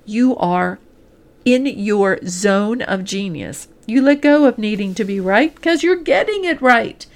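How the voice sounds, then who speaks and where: A woman executive coach delivers a scripted promotional pitch straight to the listener, earnest and deliberate, stressing points in short listed phrases. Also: expressive. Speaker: executive coach and podcast host.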